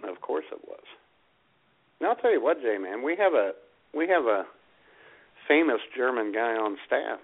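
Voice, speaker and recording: A man's voice: medium pitch, very animated, a little slow, gravelly, long pauses, narrow, tinny audio. A man co-hosting a comedy radio show, bantering casually, heard through thin, band-limited audio.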